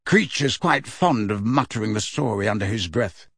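A man voicing a sardonic, bitter adult man who speaks coldly and curtly, with a slightly husky tone. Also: lowish voice, expressive, normal speed, a bit bright, no room sound, studio quality, full character voice. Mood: bitter recollection. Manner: cold, curt and irritable. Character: sardonic, cold adult man, estranged from his family. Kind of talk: audiobook character voice.

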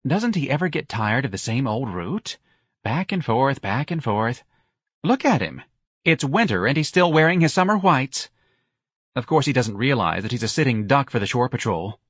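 A man voicing an effeminate young man, breathy, gushing and dramatic, as a character in an audiobook. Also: medium pitch, big pitch swings, moderate pace, dry recording, clean studio sound, voice pushed up. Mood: catty.

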